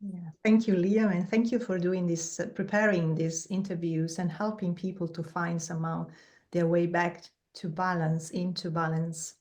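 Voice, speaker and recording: A middle-aged woman, a mindfulness teacher and guest, answering in a measured, reflective way with pauses. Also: fairly low pitch, flat delivery, darkish tone.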